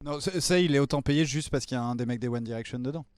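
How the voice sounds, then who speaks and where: A young man co-hosting a live film news show, teasing his partner and ranting in quick jabs. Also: medium pitch, a little mellow, dry recording, clean studio sound. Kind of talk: casual live-stream chat.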